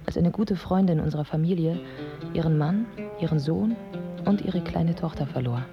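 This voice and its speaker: An actress playing a proud, angry yet compassionate Iraqi woman in her twenties, in a measured radio drama monologue. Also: fairly low pitch, close to an everyday voice. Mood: firm, bitter. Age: 20s. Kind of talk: literary first-person radio drama monologue. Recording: dull sound with music underneath.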